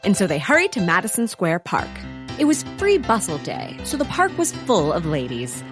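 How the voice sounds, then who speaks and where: A woman's voice: fairly low pitch, huge pitch swings, normal speed, music in the background. A woman playing a wry storyteller, narrating a comedic historical mystery for audio drama. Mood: wry, brisk. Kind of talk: scripted narration.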